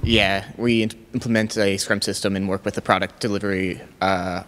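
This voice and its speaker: A man's voice: deep voice, little pitch movement, fairly quick, fairly bright tone, slight background noise. A man in the audience of a conference room answering the speaker's question, hesitant, with fillers. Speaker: audience member.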